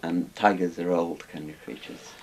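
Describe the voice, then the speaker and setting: Older male expert from Wales, low-pitched, informal explainer, hissy room recording. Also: steady tone, moderate pace, a little mellow. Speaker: interviewed expert on Chinese dragons. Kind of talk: casual explanatory talk. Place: small book-lined room indoors. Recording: hissy background noise.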